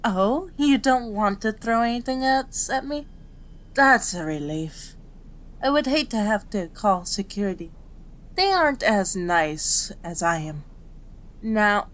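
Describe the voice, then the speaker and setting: A woman voicing a gentle, patient female kitsune doctor in an ASMR roleplay, with a soothing, polite, measured manner. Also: medium pitch. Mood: calm, reassuring.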